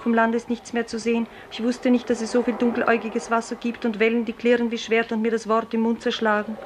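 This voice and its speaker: An actress performs a dreamy, headstrong young woman in a 1950s radio play. Her high voice is lyrical and declamatory, held on a very flat line. The old recording is roomy, with clear background noise. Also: fast talker, lightly acted. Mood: exalted, defiant. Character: dreamy, wilful young woman yearning for the sea.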